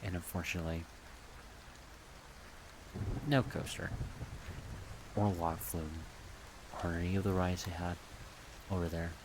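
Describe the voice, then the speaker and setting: A man talking softly in a casual, halting ASMR ramble. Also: sits low. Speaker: ASMR creator rambling about a project. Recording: steady rain-like background noise.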